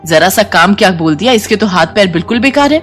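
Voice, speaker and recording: A woman acts out a rich, haughty housewife in a children's moral story. She is curt, bossy and quick to scold, snapping out orders impatiently. Music plays behind the voice. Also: medium pitch, expressive, a bit bright, close to an everyday voice.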